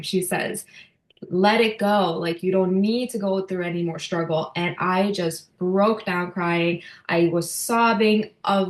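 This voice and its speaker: A woman's voice: on the low side, echoey room. A woman who grew up in Norway, telling her story earnestly as a podcast guest. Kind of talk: podcast interview.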